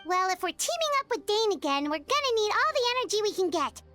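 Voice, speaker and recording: A woman voicing a small, excitable fairy girl companion in a video game, squeaky and childlike. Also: quick pace, faint music behind, full character voice. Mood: perky. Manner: refers to herself in third person, exclamatory. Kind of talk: video game character acting.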